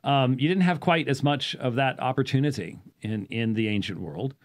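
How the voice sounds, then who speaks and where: A man gives an off-the-cuff explanatory talk as a show host, halting at times and leaning on key words. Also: medium pitch. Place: small indoor study with bookshelves. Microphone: studio microphone on boom arm, close.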